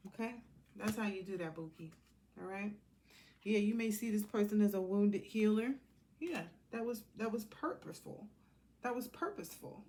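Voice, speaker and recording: A woman's voice: medium pitch, normal speed, mellow. Woman tarot reader over background noise. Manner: informal, blunt, chuckling, drifting between asides. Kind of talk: casual intuitive tarot reading monologue.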